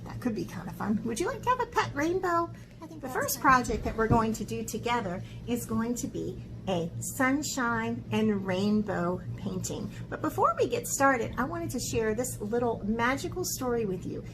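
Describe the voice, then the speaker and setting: A woman art teacher talking to young children in an expressive, encouraging way, as in a lesson or picture book read-aloud. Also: fairly high pitch, background noise. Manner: expressive, encouraging talk aimed at young children, with dramatic gasps.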